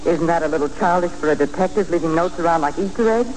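A woman's voice: on the low side, fast, a little mellow, natural voice. An actress playing a wisecracking, caring working woman with dry, sassy quips in a 1940s radio drama. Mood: wry. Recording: noisy, hissing 1940s radio recording.

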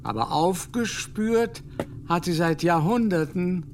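A pompous English Jesuit doctor in a radio play, grandly lecturing simple folk. He keeps fumbling for the right German word as he holds forth. His voice sits slightly high for a man, with a faint hiss behind it. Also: slow pace. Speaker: Jesuit scholar.

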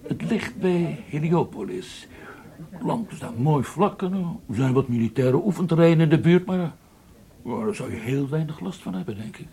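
An obliging brigadier general in a radio play. His voice sits somewhat high for a man, and he talks in a musing, confiding, unhurried way, like a companion over drinks. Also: animated, mellow. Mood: amused, musing. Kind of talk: Dutch radio drama acting, conversational.

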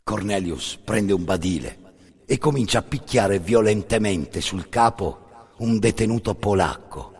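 A man performing scripted dramatic storytelling as a solo narrator, grave and deliberate, in short phrases with pauses. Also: fairly low pitch, darkish tone, slightly rough. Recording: long reverberant hall.

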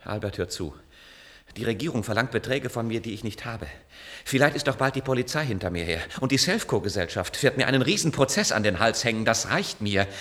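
An actor playing a beleaguered inventor in a radio play, exasperated but kind, shifting between resignation and agitated protest. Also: male voice, mid-range voice, expressive, fairly quick. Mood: alarmed. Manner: agitated, swinging from hushed resignation to loud protest. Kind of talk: German radio play acting.

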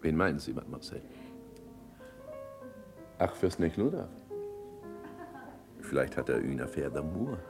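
A teasing posh gent in a radio play, low voice, really lively intonation, music behind. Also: male voice, a little slow, creaky, long pauses, exaggerated voice. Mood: amused, mocking. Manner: urbane, ironic. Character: teasing, worldly society gentleman, lightly mocking. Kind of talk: German radio drama acting.

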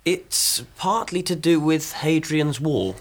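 An actor playing a practical, eager young English husband in a radio drama, with a husky voice and a brisk manner. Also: male voice, a little high. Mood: light, curious.

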